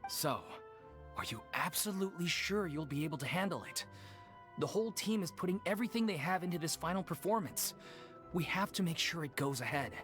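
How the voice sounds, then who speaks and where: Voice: mid-range voice, expressive, brisk, a bit bright, faint music behind, close to an everyday voice. A voice actor playing an anxious, apologetic member of a theater troupe in a game.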